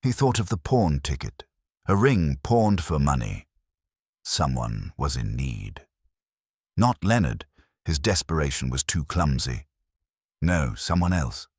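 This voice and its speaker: Male narrator, very low pitch, scripted mystery story, measured delivery, husky tone, dry close sound. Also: very animated, no echo.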